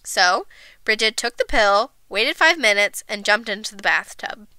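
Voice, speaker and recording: A woman reading a children's storybook aloud as a storyteller, expressive and emphatic. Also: medium pitch, unhurried, smooth, dry recording. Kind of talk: animated storybook read-aloud.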